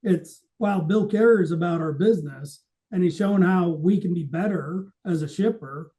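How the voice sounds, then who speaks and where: A man's voice: high-pitched, moderate pace, mellow. A man in conversational podcast talk about his trade, explaining things emphatically and readily voicing other people's side of a conversation. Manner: explanatory, emphatic, acts out quoted remarks. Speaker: podcast co-host.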